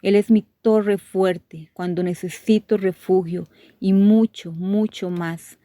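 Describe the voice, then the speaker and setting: A woman reading a devotional course aloud, sharing testimonies in a steady, measured way with gentle emphasis. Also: mid-range voice, no echo.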